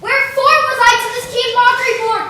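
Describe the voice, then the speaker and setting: A woman's voice: very high voice, unhurried, bright, forceful tone, exaggerated voice. A woman playing a lovelorn, self-pitying young woman in a Shakespearean stage play, with plaintive, emphatic delivery. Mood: indignant, hurt. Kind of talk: amateur stage performance of Shakespearean verse. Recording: very echoey hall from far off, faint noise.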